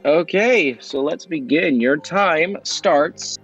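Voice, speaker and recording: A man hosting an amateur quiz show, upbeat and brisk as he announces questions. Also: on the high side, a bit bright, breathy edge, muffled recording, faint music behind.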